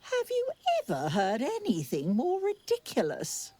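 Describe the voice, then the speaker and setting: An actress playing a wizard's tart, disapproving companion in a fantasy game, dry, sardonic and refined. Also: female voice, on the high side, huge pitch swings, measured pace, mellow, heavily acted. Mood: scornful. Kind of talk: dramatic game voice acting.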